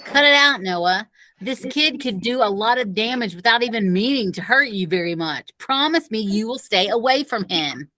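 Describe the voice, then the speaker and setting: A woman's voice: on the high side, animated, normal speed, sharp tone, dry recording. A woman reading the dialogue of a frustrated, protective schoolboy in a children's audiobook. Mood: urgent, protective. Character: protective, frustrated schoolboy warning his friend. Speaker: audiobook reader.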